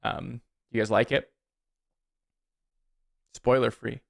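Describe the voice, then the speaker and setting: Male streamer, thirties, relaxed and talkative, reacts to chat while planning moves aloud. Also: medium pitch, slow, vocal fry. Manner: chatty, answers chat, thinks aloud about plays. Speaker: streamer playing a card game. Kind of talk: casual live-stream chat while playing.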